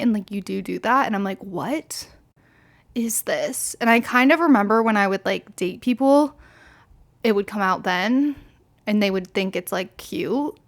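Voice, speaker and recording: A woman in her twenties, slightly tipsy, rambling candidly through a casual solo podcast with lots of fillers and swearing. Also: mid-range voice. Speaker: podcast host.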